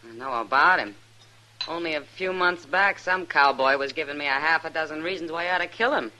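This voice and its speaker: A woman's voice: deep voice, brisk, sharp tone. A woman playing a worldly, wry saloon keeper in a radio western, with a knowing, teasing and direct manner. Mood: probing. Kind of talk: 1950s radio western acting. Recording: noisy old radio recording.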